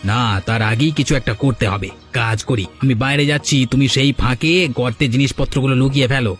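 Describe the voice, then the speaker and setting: A man voicing a sly, boastful village thief and husband in an animated folk tale. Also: mid-range voice, fast talker, faint music behind, natural voice. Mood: worried, scheming.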